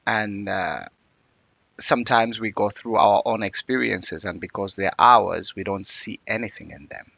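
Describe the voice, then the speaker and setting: A man's voice: on the low side, a little slow, vocal fry, sharp tone, dry recording. A man, telling his life story as an interview guest in a reflective, earnest way. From Uganda.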